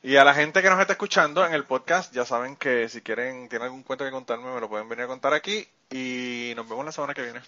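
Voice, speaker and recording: A Puerto Rican man hosts a casual podcast chat. His voice is bright and forceful, and he teases his guest playfully with frequent laughs. The sound is dull and lo-fi. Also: medium pitch, fast, few pauses. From Puerto Rico. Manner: playful teasing, laughs often. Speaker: podcast host.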